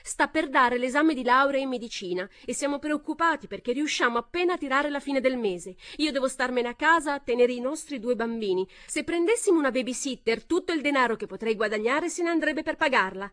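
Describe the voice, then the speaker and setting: A woman voicing a fervent young mother who shouts a rally speech in a storybook reading. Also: sits high, lively intonation, fairly quick, hardly any pauses, no room sound, clean studio sound, pitched above the performer's own voice.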